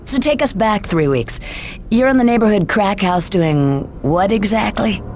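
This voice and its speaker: An actress playing a tough, skeptical police lieutenant in a video game, with dry, pointed questioning. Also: female voice, mid-range voice, expressive, unhurried, darkish tone, slight background noise, close to an everyday voice. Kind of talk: video game cutscene acting.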